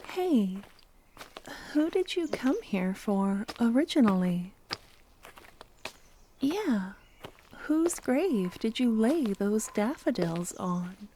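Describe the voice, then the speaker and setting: A woman playing a loving, obsessive cultist kidnapper in a soft-spoken ASMR roleplay, sweetly menacing, breathy and often whispering. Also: on the high side, slight background noise. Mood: tender, dreamy. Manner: slow, tender, intimate coaxing. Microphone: close ASMR microphone.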